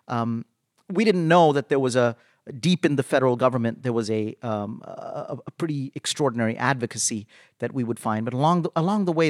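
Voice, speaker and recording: Man, mid-pitched voice, interview guest, measured halting storytelling, pauses, close dry sound. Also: dry recording. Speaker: interview guest, labor organizer.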